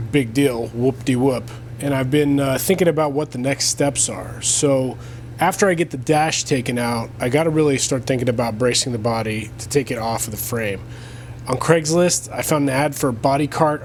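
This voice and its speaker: A man's voice: a little mellow, a little hiss or hum. A man hosting a garage vlog on a lapel mic, chatting casually and thinking aloud with many uhs and restarts. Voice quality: deep voice. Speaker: host of a garage restoration vlog. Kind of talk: casual talk to camera. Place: home garage workshop.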